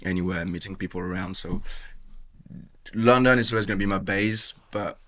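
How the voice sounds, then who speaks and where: A man's voice: low-pitched, normal speed, a bit bright. A man in his thirties with a French accent, a tattoo artist chatting loosely in an interview, full of fillers. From France. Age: 30s. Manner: rambling, filler-heavy, often says "you know what I mean". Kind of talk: casual podcast interview.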